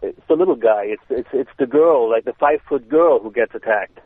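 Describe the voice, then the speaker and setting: A man's voice: mid-range voice, fairly quick, slightly rough, no echo. A man with a German accent, a self-defense instructor, explaining in casual chat with hesitant restarts. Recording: tinny phone line.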